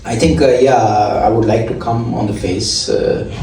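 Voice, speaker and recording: A man with an Indian accent performs a stand-up set in a mellow, mid-pitched voice. He stumbles along with filler sounds and restarts. The recording is very echoey and the mic sits some distance from him. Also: unhurried, creaky, slight background noise. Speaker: stand-up comedian.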